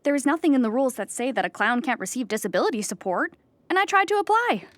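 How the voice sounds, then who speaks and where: An actress playing an anxious, childlike clown who rambles earnestly in a theatrical audio comedy monologue. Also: female voice, high voice, very animated, fast talker, dry recording. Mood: indignant. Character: anxious, childlike clown pleading at an appeal hearing.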